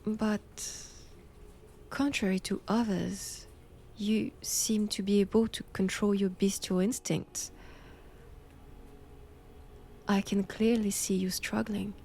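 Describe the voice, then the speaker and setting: A woman with a French accent playing a cold, haughty nun in an intimate roleplay audio drama, speaking deliberately and often dropping toward a whisper. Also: medium pitch, flat delivery, vocal fry, mellow, a little hiss or hum. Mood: fascinated, probing. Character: cold, imperious nun examining a captive vampire.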